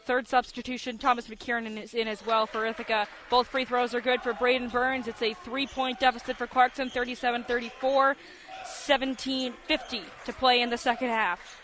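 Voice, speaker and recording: A man's voice: extremely high pitch, little pitch movement, moderate pace, sharp tone. A man calling basketball live on the radio as play-by-play announcer, in clipped, vivid descriptive phrases. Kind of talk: live sports radio commentary. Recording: live broadcast with gym noise. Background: basketball game ambience, bouncing ball.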